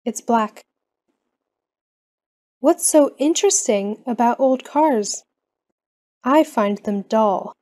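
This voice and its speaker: Woman, English lesson reader, mellow dark tone, very slow careful delivery. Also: on the high side. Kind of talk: slow scripted question-and-answer reading.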